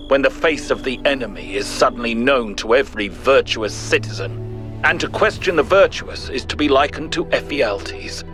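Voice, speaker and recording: An actor playing a thoughtful, principled ancient Greek philosopher in a video game, giving a grave, measured, rhetorical monologue. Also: male voice, medium pitch, natural voice. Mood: grave, resolute. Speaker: narrator of philosophical audio logs. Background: music.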